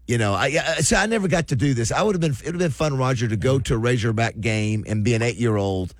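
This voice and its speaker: A man's voice: mid-range voice, lively intonation, quick pace, dry recording. A man hosting a casual sports talk show, reading out listener messages and chatting with co-hosts. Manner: chatty, restarts, reads out listener texts. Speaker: sports radio host.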